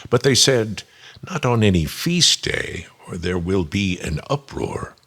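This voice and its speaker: Man, low husky voice, lively intonation, solemn dramatic scripture reading, unhurried.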